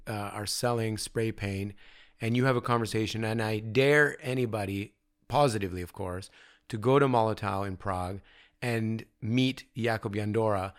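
Low-voiced male artist, relaxed interview guest, rambling and reflective. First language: not Czech; grew up in North America. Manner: thoughtful, rambling with fillers. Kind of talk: conversational interview. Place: artist studio.

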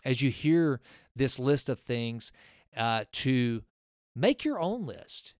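A man's voice: mid-range voice, big pitch swings, slow, darkish tone, dry recording, clean studio sound. A man in his forties hosting his own podcast, talking earnestly in a rambling way with many restarts. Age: 40s. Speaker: podcast host. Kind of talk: casual solo podcast talk with a sponsor read.

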